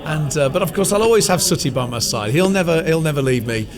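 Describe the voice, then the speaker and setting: A man's voice: on the high side, lively intonation, quick pace, crowd noise. A man who performs comedy, chatting enthusiastically and earnestly in a casual interview.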